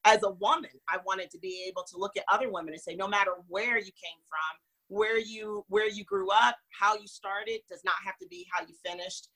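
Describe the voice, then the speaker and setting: A woman from North Carolina speaks as an interview guest, her voice bright and clear. She tells her story earnestly and expressively, with an easy laugh. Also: mid-range voice, fairly quick, low-quality recording. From North Carolina, raised in North Florida. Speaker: guest, magazine founder.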